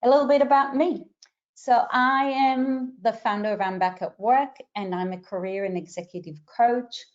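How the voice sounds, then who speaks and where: A middle-aged woman with a mixed Israeli, Australian and American accent, hosting a webinar and giving clear step-by-step instructions. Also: a little high, highs cut off. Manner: clear and instructive, with some filler pauses. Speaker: webinar host and career coach. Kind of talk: webinar introduction.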